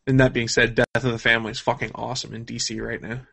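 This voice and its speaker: A man with a middle-pitched voice chats casually as a show co-host. He talks in a geeky, explanatory way, unscripted and conversational. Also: little pitch movement, quick pace, gravelly, a bit bright, breathy edge. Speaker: co-host of a gaming and comics news show.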